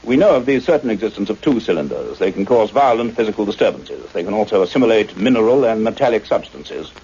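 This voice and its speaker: A man's voice: fairly low pitch, very animated, fast talker, mellow. A man acting an earnest, methodical scientist in a radio play, speaking formally and precisely. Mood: measured explaining. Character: earnest, methodical male scientist, a doctor who narrates. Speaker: actor playing the lead and narrator. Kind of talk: radio drama acting and scripted narration. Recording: muffled radio recording with faint noise.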